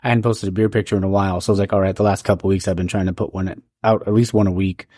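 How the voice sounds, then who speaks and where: A man's voice: low voice, level tone, very fast, darkish tone, no room sound, studio quality. A man hosting a podcast, rambling casually with lots of fillers. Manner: rambling, many fillers like "like" and "dude". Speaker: podcast host. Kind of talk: casual chat.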